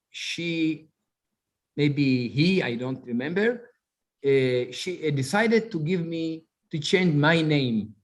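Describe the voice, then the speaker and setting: Halting, slow-speaking Ethiopian-accented man. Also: on the high side. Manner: halting, searching for words, many fillers. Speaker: rabbi and author. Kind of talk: storytelling interview.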